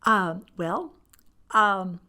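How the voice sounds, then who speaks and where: A woman's voice: mid-range voice, lively intonation, extremely slow, sharp tone. A middle-aged or older woman with a Texan accent, chatting casually as a guest in a polite, folksy, self-deprecating way. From Paris, Texas, USA. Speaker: podcast guest, tourism director. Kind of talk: casual chat.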